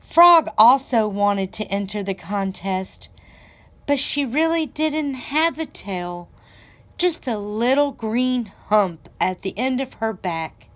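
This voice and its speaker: A woman reads a children's storybook aloud at a very unhurried pace. Her mid-pitched voice is expressive and deliberate, as if telling the story to young listeners. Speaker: library director reading aloud to children.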